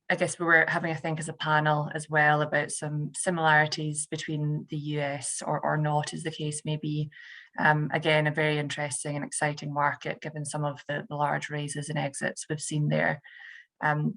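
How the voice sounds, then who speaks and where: Low, gravelly woman investor. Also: fairly bright tone. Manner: measured, thinking aloud with many fillers. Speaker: venture investor on a panel. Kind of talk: panel discussion answer.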